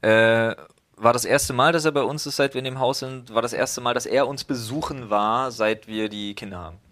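Man, thirties, podcast co-host, casual and rambling, average pitch. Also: fairly quick, a bit bright. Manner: rambling, reflective, many fillers. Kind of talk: casual chat.

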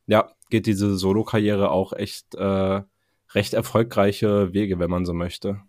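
A man's voice: on the low side, little pitch movement, unhurried, a little mellow, no echo, clean studio sound. A man co-hosting a podcast, chatting casually about music in slangy, colloquial speech. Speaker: podcast co-host. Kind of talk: casual music review chat.

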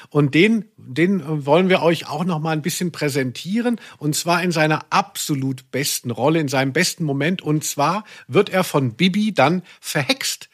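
A man's voice: on the high side, fairly bright tone. A man hosting a podcast in a reflective, conversational style, earnest and analytical with some playful irony. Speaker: podcast host.

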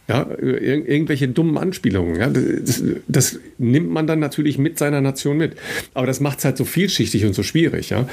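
A man hosting a podcast interview, talking in a halting way with many fillers and tag questions like "ja?" and "ne?". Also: medium pitch, gravelly, a little mellow. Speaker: podcast host.

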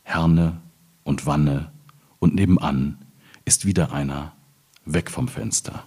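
Deep, husky man reading poetry. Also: slow, darkish tone. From Ruhr area, Germany. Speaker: poet reading own work. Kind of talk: poetry reading, measured phrases.

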